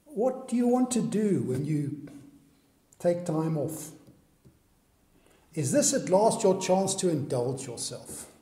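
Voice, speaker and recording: A man's voice: fairly high pitch, sing-song, slow pace, long pauses, dark tone. A man preaching a sermon, pressing the listener with one earnest question after another. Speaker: pastor. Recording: echoey hall recording.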